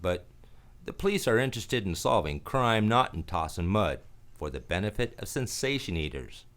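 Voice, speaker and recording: A man acts out a cynical gumshoe in a noir radio play. His voice sits fairly low but moves in lively swings, tossing off slangy, sardonic wisecracks. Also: normal speed. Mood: sardonic negotiating. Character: wisecracking, cynical hard-boiled male private detective.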